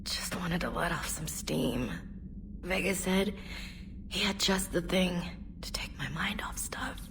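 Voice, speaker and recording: A woman playing a hungover soldier in a video game, groggy and mumbling, halting as she pleads for quiet. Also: fairly low pitch, animated, noisy background. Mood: groggy, miserable.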